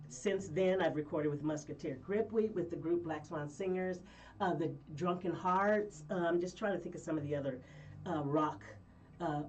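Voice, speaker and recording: A woman from Colorado, a guest singer telling stories in a rambling, lively way with frequent laughs. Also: lowish voice, recorded from a distance, a little hiss or hum. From Colorado, USA. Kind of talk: casual interview chat.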